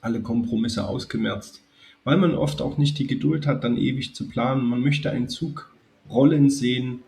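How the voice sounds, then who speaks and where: A man with a cold, addressing his viewers in a calm, chatty way as he narrates a hobby video. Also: medium pitch, normal speed, vocal fry. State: has a cold. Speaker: model railway YouTuber. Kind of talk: casual spoken video narration.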